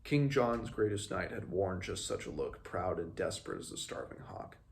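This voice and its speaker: Deep, creaky-voiced young man. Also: very animated, moderate pace, a little hiss or hum. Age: 20s. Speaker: book reviewer. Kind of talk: book read-along, recapping and reading aloud. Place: small room at home, indoors.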